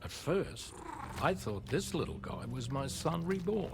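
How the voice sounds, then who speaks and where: A solemn, gravelly grieving father. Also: fairly high pitch, big pitch swings, measured pace, heavily acted. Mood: somber, reflective. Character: grieving maimed father, a grave weathered man. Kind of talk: video game cutscene acting. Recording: music playing behind the voice.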